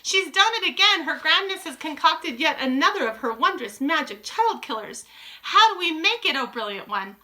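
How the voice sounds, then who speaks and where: A woman voicing fawning, excitable, wicked witches in a storybook, gushing and chanting. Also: high voice, normal speed, pitched above the performer's own voice. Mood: adoring, excited. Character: audience of fawning, excitable, child-hating female witches. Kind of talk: dramatised storybook reading.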